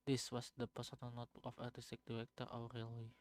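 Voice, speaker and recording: Halting man reading aloud. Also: mid-range voice, monotone. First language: Indonesian. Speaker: game streamer. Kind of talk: reading game text aloud on a live stream.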